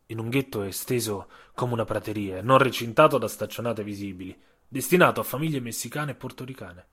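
A male narrator reads a scripted literary story for radio. His mid-pitched voice is a little husky. He phrases with care, leaning on key words and leaving dramatic pauses between them. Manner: measured, dramatic pauses and emphasis. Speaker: writer and narrator of an audio story.

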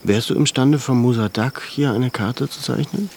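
An actor in a radio drama playing a pensive, gently strict scholarly father in his thirties, with a gravelly voice. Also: mid-range voice, slight background noise, natural voice. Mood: fatherly, probing. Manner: slow, halting, introspective.

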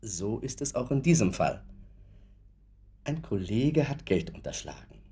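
A measured lawyer in a radio drama. His deep, mellow voice is husky, and he is courteous yet sceptical, probing with deliberate care. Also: big pitch swings, full character voice. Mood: grave, probing. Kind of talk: German radio play acting.

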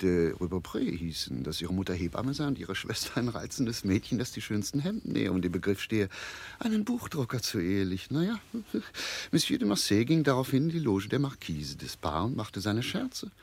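An actor in a German radio drama playing an evasive, condescending society gentleman who hems, haws and chuckles patronisingly. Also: fairly low pitch, very animated, normal speed, husky, exaggerated voice. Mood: smugly gossiping. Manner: hemming and hawing, chuckling, patronising.

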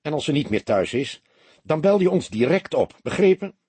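A man voicing an impatient, commanding police detective in a storybook, firing off brisk orders and probing questions. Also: a little high, sing-song, mellow, breathy edge, no room sound, pitched above the performer's own voice. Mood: urgent, commanding. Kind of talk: audiobook character dialogue.

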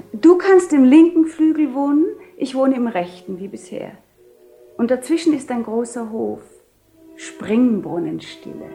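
Proud widow duchess, highish voice, measured and solemn, old german radio drama, faint music. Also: female voice, dark tone. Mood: stately, persuasive. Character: proud, self-possessed widowed duchess, still loving. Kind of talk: 1970 German radio play acting.

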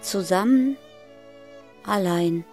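An actress playing a sombre, detached chorus narrator in a literary radio drama, speaking in terse fragments. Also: female voice, medium pitch, takes it slowly, darkish tone, soft background music.

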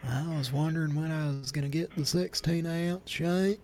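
A man hosting a live prank call show, deadpan, with mumbling asides. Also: a little high, moderate pace.

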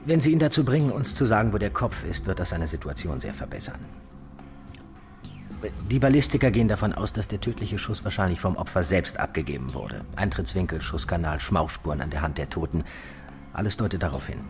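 An actor playing a stubborn, irritable police detective in a radio play, blunt and scornful. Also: male voice, low voice, very animated. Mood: businesslike. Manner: blunt and scornful, quick to anger. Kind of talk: German radio play acting.

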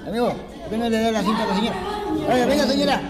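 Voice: medium pitch, rapid-fire, lightly acted. An amateur performer playing a pushy, streetwise bus passenger in a radio play. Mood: pushy, scolding. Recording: echoey large room, music and crowd noise.

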